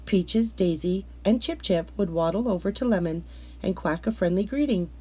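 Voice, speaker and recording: Husky, mellow woman reading a storybook. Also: medium pitch, moderate pace. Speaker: children's storybook reader. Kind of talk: scripted read-aloud narration of a picture book.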